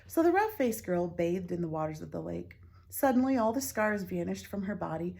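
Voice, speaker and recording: Husky-voiced woman reading a picture book aloud. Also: on the low side, normal speed. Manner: expressive, with whispered asides. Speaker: teacher reading to children.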